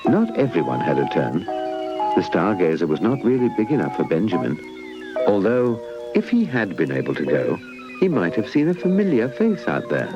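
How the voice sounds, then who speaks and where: A British man narrating a scripted children's story in an expressive, dramatic way. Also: low-pitched, normal speed. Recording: muffled old 1980s VHS tape, loud music.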